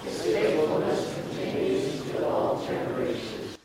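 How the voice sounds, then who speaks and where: Members of a congregation reading a responsive passage slowly together, led by a man's voice. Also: a little high, animated, a little mellow, slightly rough, rough recording. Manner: slow unison recitation.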